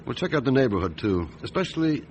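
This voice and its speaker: A man playing a seasoned, gruff and methodical police chief inspector in a detective radio drama, speaking in a measured, deliberate, brooding way. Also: medium pitch, big pitch swings, a little mellow, a little hiss or hum, close to an everyday voice, rough recording. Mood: businesslike. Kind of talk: radio drama acting and narration.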